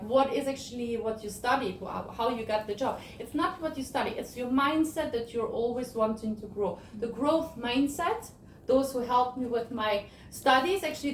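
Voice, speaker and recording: Woman in her 30s doing a lively motivational story talk, high voice, echoey room, mic kinda far off. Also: a little hiss or hum. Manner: animated storytelling, acts out dialogue. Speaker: guest speaker. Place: event space, sunset gathering.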